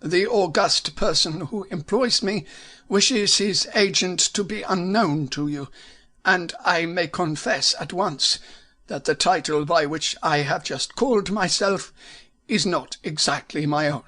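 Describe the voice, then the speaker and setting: A man's voice: sits high, a little slow, pushed and bright, pitched above the performer's own voice, heavily acted. A man voicing a masked Bohemian nobleman who is formal and commanding, with a German accent. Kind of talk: audiobook character voice.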